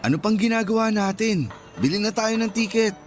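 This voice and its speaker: A male actor plays an easygoing, joking young man in a Filipino radio drama. His voice sits a little high and stays casual and friendly. Faint music runs behind him. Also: fairly quick, lightly acted. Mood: casual, teasing.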